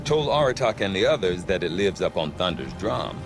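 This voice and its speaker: A sardonic trader chats in video game dialogue, wry and dismissive. The voice sits low yet moves about in a lively way, with music playing underneath. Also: male voice, a little fast. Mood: wry, weary. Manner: wry, dismissive, drawling asides with hesitant hums.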